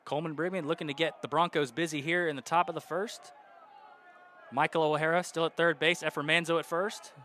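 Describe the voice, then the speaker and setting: A young male radio announcer calls a baseball game in a bright, fairly high voice, ticking off counts and runners in a steady flow. Faint outdoor ballpark noise sits behind him. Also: brisk. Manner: steady count-and-situation narration, rising loud on hits. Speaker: play-by-play announcer.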